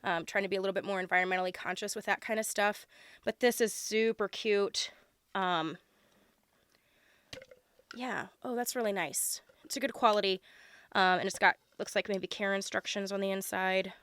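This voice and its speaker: A woman with a hoarse, congested voice from being sick, chatting casually and gushing as she unboxes a subscription box, with plenty of ums. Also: mid-range voice, fairly even intonation, moderate pace, a bit bright, dry recording. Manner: chatty, lots of ums, gushing over items. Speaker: unboxing vlogger.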